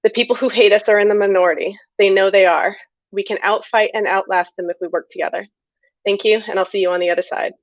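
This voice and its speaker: Woman, prepared award speech, earnest and emphatic, mid-pitched voice, slightly muffled lo-fi recording. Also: fairly even intonation, quick pace, no echo. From United States. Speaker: award winner, critic and librarian. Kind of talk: prepared acceptance speech, read out.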